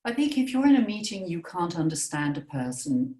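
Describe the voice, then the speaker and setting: A fairly low-pitched woman talking in a casual podcast chat. She speaks emphatically and keeps checking in with her partner. The room gives her voice a noticeable echo. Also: very animated, fairly quick, dark tone. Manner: emphatic, frequent "you know" and "right?". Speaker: language coach.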